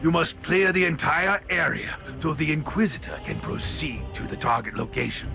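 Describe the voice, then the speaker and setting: A man voicing a stern, dutiful warrior in a video game, speaking in a formal, measured and proud way. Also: fairly high pitch, animated, gravelly, music in the background. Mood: stern, resolute.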